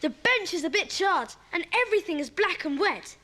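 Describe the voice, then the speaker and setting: A woman's voice: extremely high pitch, very animated, moderate pace, bright, forceful tone, heavily acted. A woman playing an eager, practical schoolchild in a children's audio drama, plain-spoken and exclamatory. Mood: earnest.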